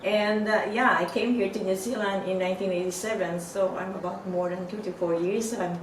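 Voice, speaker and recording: A woman's voice: medium pitch, steady tone, fairly quick. A middle-aged woman from the Philippines, answering hesitantly with fillers. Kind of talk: casual interview answer. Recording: roomy echo, distant mic, faint noise.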